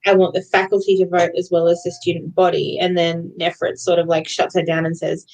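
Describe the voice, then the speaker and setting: A woman's voice: fairly low pitch, little pitch movement, brisk, gravelly, darkish tone, rough recording. Giggly woman in casual book chat. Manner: giggly, often laughing while talking. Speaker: book club co-host. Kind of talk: casual chatty book discussion.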